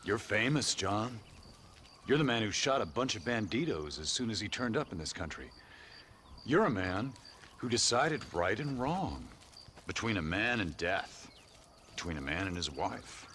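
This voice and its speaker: An actor playing a calm, mysterious stranger who speaks in a measured, knowing way in a western video game. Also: male voice, fairly low pitch, a little hiss or hum.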